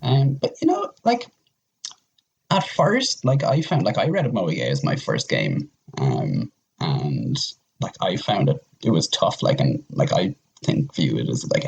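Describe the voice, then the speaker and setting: A man's voice: a bass voice, gravelly, slightly rough, rough recording. A man speaking as a podcast guest in a casual discussion of games, rambling and often restarting his phrases. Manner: hesitant, rambling, repeats phrase starts.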